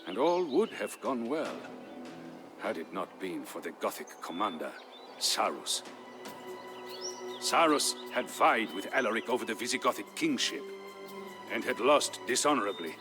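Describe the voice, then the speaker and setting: An actor playing a solemn Visigothic warrior chieftain, telling his people's history as measured, theatrical narration for a strategy game. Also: fairly low pitch, very animated. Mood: grave. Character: grave Visigothic warrior chieftain, the dead king's brother-in-law, recounting history. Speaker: story narrator. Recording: narration over prominent music.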